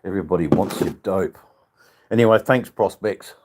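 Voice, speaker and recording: An older man with an Australian accent and a husky voice, chatting casually to livestream viewers in a rambling, drawn-out, joking way. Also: deep voice, background noise. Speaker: livestream channel host. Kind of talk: casual live chat with viewers.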